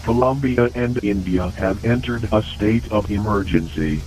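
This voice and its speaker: Man playing a deep, deadpan emergency news announcer. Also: slight background noise, close to an everyday voice, rough recording. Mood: grave, formal. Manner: formal, deadpan, measured. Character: grave male emergency news announcer in horror video. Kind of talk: scripted analog-horror news bulletin narration.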